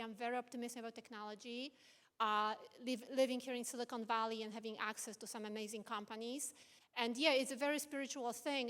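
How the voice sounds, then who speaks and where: A woman's voice: a little high, big-room sound. A woman from Poland, an artist talking onstage in a fireside conversation, earnest and searching for words. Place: event venue with audience.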